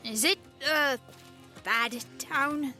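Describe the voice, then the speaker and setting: Woman acting as guarded young boy, bright sharp voice, slow pace, curt, grumbling, audio drama. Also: high-pitched, exaggerated voice. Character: guarded, prickly young boy, protective older brother. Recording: faint music behind.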